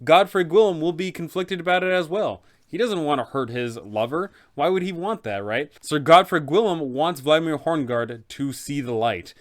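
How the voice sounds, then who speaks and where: Smooth-voiced man giving game master advice. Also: a little high. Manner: enthusiastic, addresses viewers directly. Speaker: game master guide host. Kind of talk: scripted narration for game masters.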